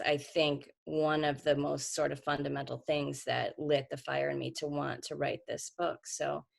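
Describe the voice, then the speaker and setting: Woman, low voice, gravelly fry, earnest author on a video call. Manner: earnest, reflective, many restarts. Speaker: author being interviewed.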